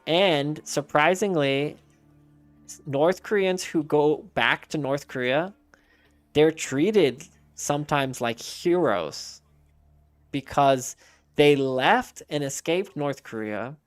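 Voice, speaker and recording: A man's voice: a little high, slow, no room sound, soft background music. A man streaming a game and chatting casually with his viewers, answering their messages aloud in a rambling way with frequent restarts.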